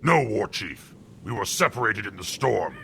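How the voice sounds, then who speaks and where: A man playing a loyal, eager orc grunt in a fantasy game, with a rough, gravelly voice. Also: fairly low pitch, sing-song, moderate pace, pushed and bright, slight background noise, heavily acted. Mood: eager, dutiful. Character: eager, loyal orc warrior reporting to his chief.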